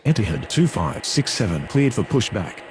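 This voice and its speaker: A man's voice: fairly low pitch, big pitch swings, normal speed. A man voicing a businesslike, formal pilot and controller in a game, using clipped radio phraseology.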